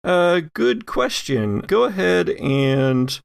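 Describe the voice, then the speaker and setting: A man narrating a tabletop roleplaying game conversationally as game master, pausing to think as he goes. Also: high-pitched, big pitch swings, vocal fry, clear, resonant tone, no room sound, clean studio sound.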